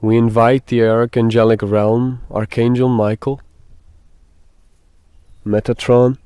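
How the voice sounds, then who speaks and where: Guy doing guided meditation, really flat delivery, dry close sound, halting phrases. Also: medium pitch, smooth, no echo.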